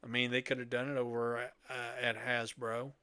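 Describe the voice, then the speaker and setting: A man's voice: mid-range voice, little pitch movement, normal speed, bright, forceful tone, clean tone, no echo. Middle-aged man in a dry, close recording. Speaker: podcast host. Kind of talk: casual chat.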